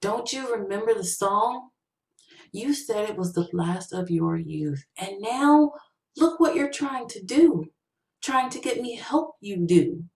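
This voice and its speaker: A woman's voice: medium pitch, expressive, slow, darkish tone, pitched above the performer's own voice. Audiobook role: woman as solemn male ex-soldier, weary, firm, reproachful; roomy echo on the recording. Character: Danny, solemn, weary man, superstitious ex-serviceman. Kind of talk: short-story audiobook character voice.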